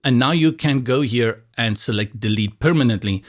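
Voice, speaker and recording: A man from South Africa, Afrikaans his first language, with a South African accent, calmly talking through a software tutorial step by step with a bit of light humor. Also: mid-range voice, normal speed. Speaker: tutorial instructor. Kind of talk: screen-recorded software tutorial.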